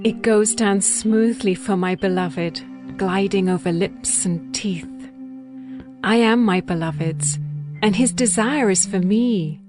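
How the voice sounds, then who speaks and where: Slow, tender young bride in love played by an actress. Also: female voice, medium pitch, over music, close to an everyday voice. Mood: longing, tender. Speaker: actress in a dramatized Bible audiobook. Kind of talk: poetic dramatized scripture reading.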